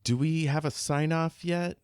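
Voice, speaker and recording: A man's voice: on the high side, steady tone, measured pace, a little mellow, smooth, no echo, clean studio sound. A man co-hosting a podcast in casual, informal chat, with a jokey, self-deprecating manner. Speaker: podcast co-host.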